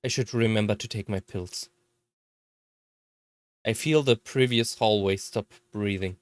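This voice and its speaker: A narrator reading a first-person visual novel story aloud in a measured delivery. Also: mid-range voice, no echo.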